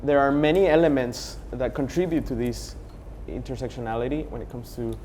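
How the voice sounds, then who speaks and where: A man's voice: medium pitch, brisk, a little mellow, slight background noise. A young man from Honduras speaking as a panelist, earnest and methodical with many for-instances. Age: young adult. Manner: earnest, building points with for instance. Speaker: panelist, youth organization founder. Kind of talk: panel discussion.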